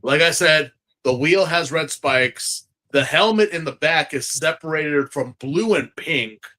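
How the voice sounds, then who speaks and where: A man hosting a casual podcast review, animated and slangy, repeating phrases for emphasis. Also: a little high, unhurried. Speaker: podcast host.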